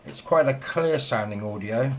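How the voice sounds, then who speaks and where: A man's voice: mid-range voice, moderate pace, gravelly, slight background noise. A man chatting casually and in a rambling way as a radio operator filming in his home shack. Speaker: amateur radio operator and video maker.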